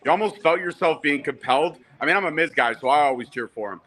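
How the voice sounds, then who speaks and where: A man's voice: on the high side, animated, fast talker, over a noisy crowd. A man close to forty chatting casually on a live group stream, emphatic, repeating his phrases and swearing freely. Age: late 30s, about to turn forty. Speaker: guest wrestling commentator.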